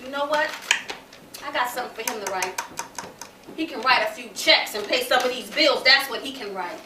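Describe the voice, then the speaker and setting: A high-pitched, domineering American mother, acted by a woman in a stage play. She scolds emphatically and dismissively. The recording is distant and echoey, with faint noise. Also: fairly quick, bright, forceful tone. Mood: scornful. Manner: scolding, emphatic, rhetorical questions. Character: stern, domineering, dismissive mother of a dreaming son.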